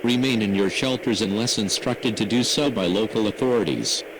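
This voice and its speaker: A man reading a scripted emergency broadcast announcement in a formal, measured, impersonal manner. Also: lowish voice. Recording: noisy emergency radio broadcast audio.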